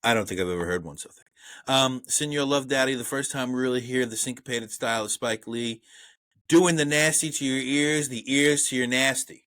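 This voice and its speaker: A man with a Brooklyn accent talks casually about movies on a podcast, blunt and profane. His voice is bright and forceful. The sound is dry and close. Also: mid-range voice, steady tone, fairly quick, dry recording. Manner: blunt and profane, reads trivia aloud. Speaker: podcast co-host. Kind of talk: casual movie review chat.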